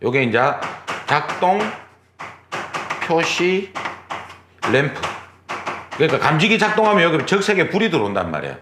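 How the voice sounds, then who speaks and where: Lively, echoey male lecturer. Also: a little high, moderate pace, pauses often, noisy background. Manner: explains step by step, repeats key terms, checks with "그죠?". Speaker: exam prep instructor. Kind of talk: lecture, drawing on a board. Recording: echoey classroom.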